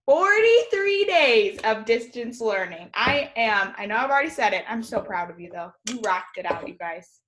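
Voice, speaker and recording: A woman's voice: medium pitch, fairly bright tone. An American woman in her thirties teaching young children as a kindergarten teacher, encouraging and enunciating with exaggerated care in a call-and-repeat drill.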